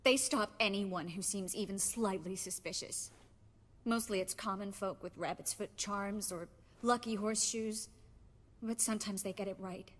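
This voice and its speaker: An actress playing an earnest young sorceress and weary resistance leader in a dramatic video game. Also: female voice, mid-range voice, fairly even intonation, normal speed, long reverb tail, close to an everyday voice. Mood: urgent, worried.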